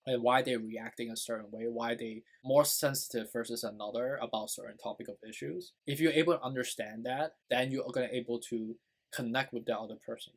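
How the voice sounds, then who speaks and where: A young man with a Chinese accent, a native Chinese speaker, talking casually to his channel's viewers in an earnest, rambling way, full of fillers and "right?" tags. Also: medium pitch. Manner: rambling, earnest, many fillers and tag questions. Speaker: YouTube channel host. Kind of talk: casual personal talk to camera.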